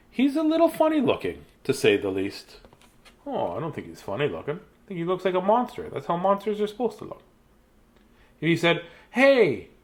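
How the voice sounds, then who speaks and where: A man in his fifties reads children's bedtime picture books in an animated, playful, childlike way, with chatty asides. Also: on the high side. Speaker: children's storyteller. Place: small decorated room indoors.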